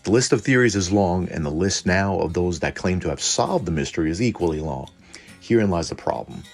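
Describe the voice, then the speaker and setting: A man hosting a lore deep dive in a colloquial, irreverent way, part scripted commentary and part casual aside. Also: expressive, fairly quick, faint music behind. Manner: colloquial, irreverent, mildly profane. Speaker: host of a cryptid and tabletop lore channel.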